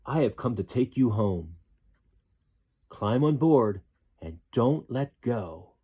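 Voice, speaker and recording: A gentle, wise storybook dolphin voiced by a man, mellow and slightly husky. He speaks with solemn, encouraging reassurance, like a magical rescuer. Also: mid-range voice, lively intonation, slow pace. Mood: kindly, reassuring. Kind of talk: storybook character reading.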